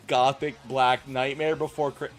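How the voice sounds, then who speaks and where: Male streamer, mid-pitched, comic dramatic reader with incredulous asides, music underneath. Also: flat delivery, a little slow. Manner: exasperated, incredulous. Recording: music playing behind the voice.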